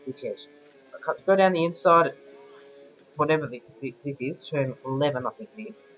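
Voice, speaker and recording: A man with a croaky voice from a sore throat, giving rambling, self-correcting casual commentary over racing game footage. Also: fairly high pitch, fairly quick, a bit bright. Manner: rambling, keeps correcting himself. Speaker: gameplay commentator. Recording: dull lo-fi voice-over with game music behind.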